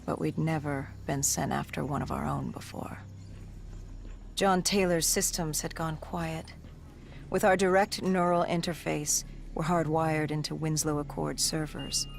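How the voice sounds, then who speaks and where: A grim cyber-augmented soldier, looks back on a disaster in a video game cutscene. The voice is low-set and gravelly, with creaky edges and somber, measured phrasing. Music plays underneath. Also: steady tone. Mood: grim, reflective.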